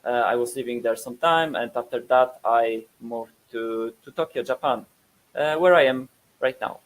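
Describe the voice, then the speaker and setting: A man's voice: medium pitch, moderate pace, rough recording. A man in his thirties from Poland, speaking with a Polish accent, presents a webinar with many fillers and repeated words.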